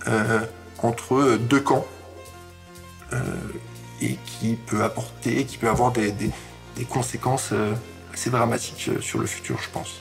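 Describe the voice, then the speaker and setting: A man's voice: mid-range voice, fairly even intonation, unhurried, creaky, long pauses, soft background music. A man in his twenties, giving thoughtful interview answers and stumbling over his words at times. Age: 20s. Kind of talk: documentary interview testimony.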